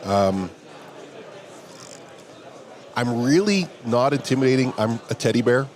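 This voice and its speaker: A man with a big voice, a security professional telling a relaxed story during a hallway chat at a conference. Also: mid-range voice, a little slow. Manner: relaxed anecdotal telling, quoting others in dialogue. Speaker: interview guest, security professional. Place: outdoors at a conference venue.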